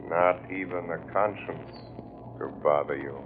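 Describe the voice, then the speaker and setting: An actor playing a mysterious invisible crime-fighter, calm and commanding, in 1940s radio style. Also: male voice, low-pitched, a little hiss or hum. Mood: calm, taunting. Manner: slow, commanding, taunting. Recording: tinny, band-limited old radio recording.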